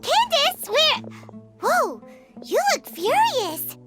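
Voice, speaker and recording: A woman's voice: very high-pitched, huge pitch swings, very slow, over loud music, exaggerated voice. A woman voicing a tiny, childlike, blunt girl fairy companion in a video game. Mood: taken aback. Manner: speaks of herself in third person, exclaiming.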